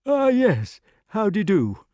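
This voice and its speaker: Audiobook role: amiable, muddle-headed elderly knight king, high, blustering and very animated. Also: a little slow, dry recording, studio quality, full character voice. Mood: nervous, polite. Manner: flustered, exclamatory, blustering upper-class chatter. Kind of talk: audiobook character voice.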